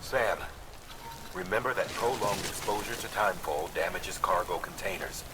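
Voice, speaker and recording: A man playing a steady, encouraging logistics handler who gives measured, instructive briefings to a courier in a video game. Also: low voice, noisy background, natural voice. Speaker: mission dispatcher.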